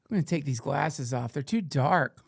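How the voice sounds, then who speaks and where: Middle-aged male host, gravelly, raised pitch, mock-indignant comic riffing, dry sound. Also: normal speed, no echo. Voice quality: playfully mock-indignant, swings to whispers and shouts. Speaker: podcast host reading chat questions. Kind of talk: comedic livestream banter in a mock persona.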